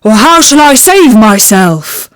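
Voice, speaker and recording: A woman voicing a boastful male ogre in a storybook, speaking briskly and loudly. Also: a little high, very animated, smooth, dry recording, pitched above the performer's own voice.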